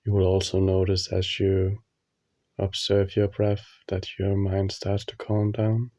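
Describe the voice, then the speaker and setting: A man leading a guided meditation, speaking calmly and soothingly with long pauses. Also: low-pitched, no room sound. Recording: dull, muffled lo-fi audio.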